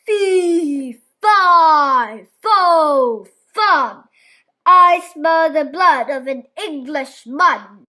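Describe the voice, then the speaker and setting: Woman doing a storybook giant, male, huge, greedy, angry, booming, loud, slow and heavy. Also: expressive, heavily acted. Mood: menacing. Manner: booming, bellowing.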